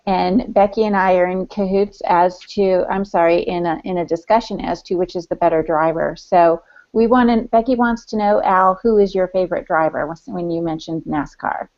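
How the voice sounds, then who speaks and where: Mellow-voiced woman chatting on a podcast. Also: fairly low pitch, normal speed. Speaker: podcast co-host. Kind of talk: casual podcast chat. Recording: dull lo-fi audio.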